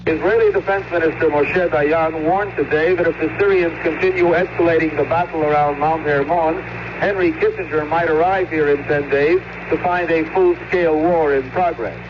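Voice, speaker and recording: A man reading a scripted report as a foreign radio correspondent. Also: a little high. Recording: muffled, noisy overseas radio feed with hum.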